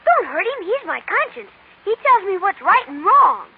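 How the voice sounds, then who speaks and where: A man's voice: very high-pitched, very animated, normal speed, full character voice. A male performer playing a naive, eager little puppet boy, earnest and childlike, in 1930s radio style. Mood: pleading. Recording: dull, noisy 1930s radio broadcast.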